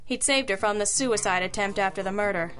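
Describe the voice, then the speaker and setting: A woman playing a sharp, wry private detective in an old-school radio drama, crisp and matter-of-fact, with compassion underneath. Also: mid-range voice, fairly quick, faint music behind, natural voice.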